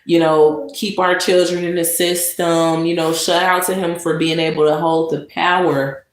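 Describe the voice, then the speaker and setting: A woman vlogger delivering a sarcastic monologue of mock praise, with drawn-out emphasis. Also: fairly low pitch, little pitch movement. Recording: echoey room with noise.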